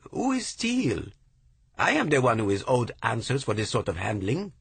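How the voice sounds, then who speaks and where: A man's voice: mid-range voice, huge pitch swings, moderate pace, vocal fry, slightly rough, no room sound, pitched above the performer's own voice, exaggerated voice. A man voicing an indignant Italian man who snarls and protests in a storybook reading. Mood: outraged. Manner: snarling, outraged.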